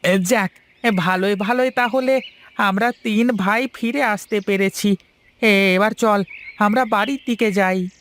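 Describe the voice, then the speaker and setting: A woman's voice: mid-range voice, unhurried, fairly bright tone, close to an everyday voice. A woman voicing a humble, devoted young village son in a fairy-tale cartoon, earnest and respectful. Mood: reassuring.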